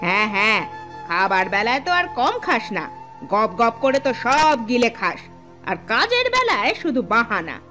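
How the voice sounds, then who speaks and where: Casting: cruel nagging stepmother played by a woman, high voice, wildly swinging intonation, animated fairy tale. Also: moderate pace, soft background music. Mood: scolding, bossy. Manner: nagging, accusing, sharp-tongued. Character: cruel scolding stepmother, falsely sweet before her husband. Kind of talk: Bengali cartoon voice acting.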